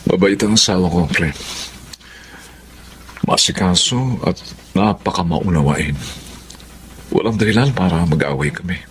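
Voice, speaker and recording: A man's voice: sits low, unhurried, long pauses, slight background noise. A man playing a loyal, good-natured but uneasy husband in a Filipino radio drama, speaking earnestly and expressively. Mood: troubled, confiding.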